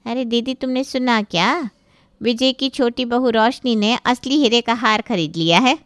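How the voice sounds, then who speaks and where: Woman acting high, excitable nosy neighbour aunt. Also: moderate pace, smooth, no room sound, studio quality, close to an everyday voice. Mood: excited gossiping. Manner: exclaiming, eager for gossip.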